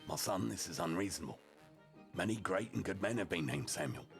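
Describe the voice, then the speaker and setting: A man's voice: low voice, lively intonation, brisk, creaky, faint music behind. A man voicing a stern, exasperated middle-aged father in a humorous story reading.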